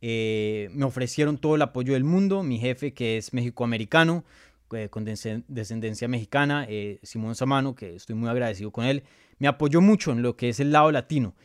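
Casting: man in his thirties, Colombian-born, mid-pitched, chatty live Q&A host, dry recording. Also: a little fast, clear, resonant tone, no room sound. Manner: chatty, many fillers and restarts. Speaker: MMA journalist and show host. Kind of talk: live casual Q&A reading viewer questions.

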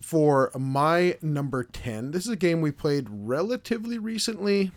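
A hoarse man with a scratchy voice, hosting a casual podcast chat and rambling loosely with fillers and restarts. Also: a little high, animated, normal speed. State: hoarse from being sick. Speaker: podcast host.

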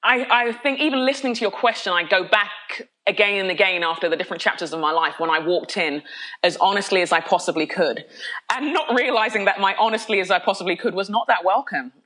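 A bright, ringing woman's voice from London, middle-aged and full of energy. She speaks as a candid interview guest, laughing often and restarting sentences as her thoughts race ahead. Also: mid-range voice, quick pace. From London, of Nigerian heritage. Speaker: podcast guest, pastor.

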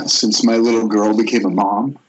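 A man playing a gentle, wry middle-aged father with a husky voice in an amateur script read over a muffled call. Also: fairly low pitch, level tone, a little slow. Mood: warm, wry. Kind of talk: amateur screenplay table read.

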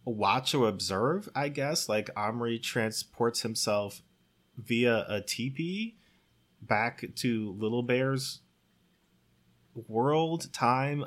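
A man in casual conversation, recounting a story in a halting, rambling way with lots of "like". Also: medium pitch. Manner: rambling, halting, heavy use of "like". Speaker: podcast co-host. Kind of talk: casual chat, recounting a plot.

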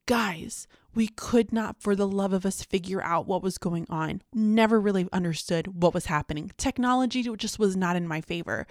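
A woman's voice: medium pitch, dry recording, clean studio sound. A woman vlogger chatting casually and candidly, with a self-deprecating, confiding manner. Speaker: teacher and vlogger. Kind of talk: casual confiding vlog chat.

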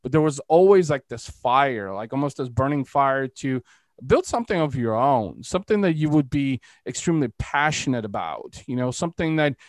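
A man from Eastern Europe chats as a podcast host. His voice sits at a medium pitch, recorded close and dry. He rambles casually, with plenty of conversational filler. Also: expressive, normal speed, dry recording. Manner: rambling, frequent "you know".

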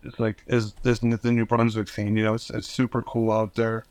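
A man's voice: fairly low pitch, completely flat delivery, normal speed, a little mellow, dry recording. Rambling man from New Jersey. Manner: rambling, full of restarts and "like", swears casually. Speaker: podcast guest, band founder. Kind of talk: casual conversation.

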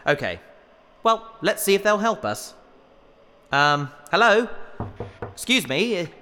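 Man playing an eager bookish explorer, lively higher voice. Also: slow, full character voice. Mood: cautious, polite. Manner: hesitant, polite, pedantic. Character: eager, bookish male researcher explorer, polite and mild-mannered. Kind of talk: sci-fi audio drama acting. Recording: long cave reverb, faint noise and ambience.

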